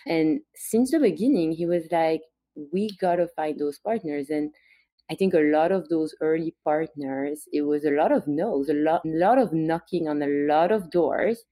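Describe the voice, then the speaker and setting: Belgian woman with a mellow, dry voice. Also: sits low, normal speed, no room sound. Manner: conversational, storytelling with small restarts. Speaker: guest, brand founder. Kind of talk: podcast interview.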